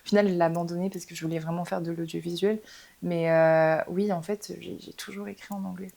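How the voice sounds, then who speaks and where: A woman's voice: lowish voice, little pitch movement, a little fast, gravelly, a little mellow. A young woman, a band's singer, explaining her ideas thoughtfully in a casual interview, with frequent fillers. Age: young adult. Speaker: singer in a band.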